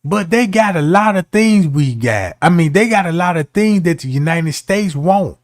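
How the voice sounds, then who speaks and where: A man's voice: sits high, lively intonation, moderate pace, no room sound, studio quality. A man giving casual commentary as a streamer, talking in a slangy, argumentative way.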